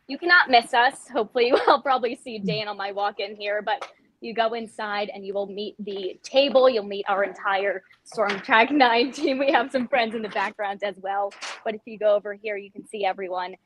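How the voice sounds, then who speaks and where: A woman's voice: medium pitch, normal speed, a bit bright. A woman reporting live in the field, speaking off the cuff in an informal, chatty walk-and-talk style.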